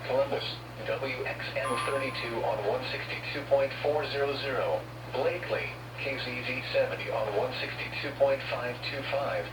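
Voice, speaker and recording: A man with an American accent reads formal scripted weather bulletins in an impersonal, measured way over radio. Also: medium pitch, far from the mic. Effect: radio broadcast. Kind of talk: formal scripted warning and information broadcast. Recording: noisy, humming weather radio picked up from afar, roomy.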